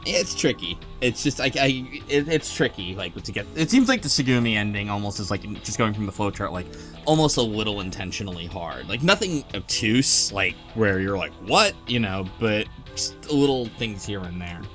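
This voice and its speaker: A man's voice: lowish voice, very animated, moderate pace, fairly bright tone, soft background music. A man hosting a casual group discussion of a game, rambling as he thinks aloud. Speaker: podcast host.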